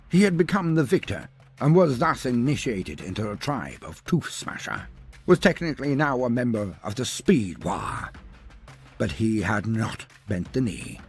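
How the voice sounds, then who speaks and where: A man reading scripted fantasy narration as a storyteller, in a pompous, wordy manner with dramatic pauses. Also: medium pitch, breathy edge.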